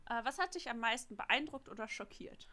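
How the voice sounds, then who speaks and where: A woman's voice: a little high, expressive, brisk, pushed and bright. A woman co-hosting a podcast, asking questions and adding short agreeing replies. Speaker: podcast co-host, interviewer. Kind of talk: casual interview.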